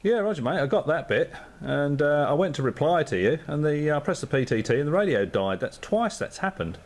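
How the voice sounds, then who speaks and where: A man's voice: a little high, very animated, fairly quick. A man on amateur radio, chatting casually and thinking aloud with lots of fillers. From Australia.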